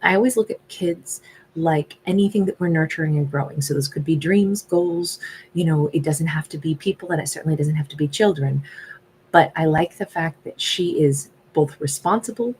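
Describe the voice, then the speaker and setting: A woman's voice: low voice, normal speed, darkish tone, a little hiss or hum, rough recording. A woman doing a casual live-stream tarot reading, talking in a loose, reflective way with frequent asides. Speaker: tarot reader.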